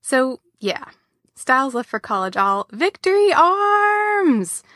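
A woman's voice: high-pitched, sing-song, slow, fairly bright tone, no room sound, clean studio sound. A woman reading fiction aloud in a wry, informal storytelling style. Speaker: audio fiction reader. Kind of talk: expressive story narration.

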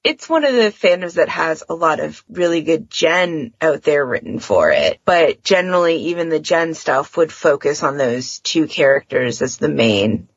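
Woman, podcast host, slightly low voice, creaky fry, informal explaining, dull close lo-fi recording. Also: expressive, moderate pace, hardly any pauses, no echo. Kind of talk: casual fandom podcast discussion.

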